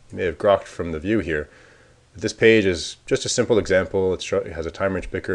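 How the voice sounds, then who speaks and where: A man casually talking through a software demo, thinking aloud as he clicks around the screen. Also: deep voice. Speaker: software developer demoing a tool. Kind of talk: screencast walkthrough.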